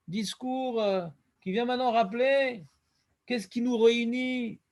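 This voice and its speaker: Rabbi, man, high voice, lively questioning teacher, quotes. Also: measured pace. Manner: lively, questioning, quotes Hebrew verses in a chant-like cadence. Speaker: rabbi teaching a Talmud class. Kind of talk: Talmud lesson.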